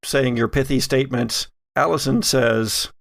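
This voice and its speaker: Dry, gravelly-edged man narrating horror. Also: mid-range voice, fairly even intonation, a little slow, no room sound. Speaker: game master. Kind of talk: narrating a tabletop horror game.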